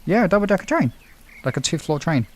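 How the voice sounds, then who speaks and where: A man's voice: on the high side, sing-song, fast. A British man chatting casually on a livestream, replying to viewers in an informal, rambling, sweary way. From United Kingdom. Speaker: livestream host.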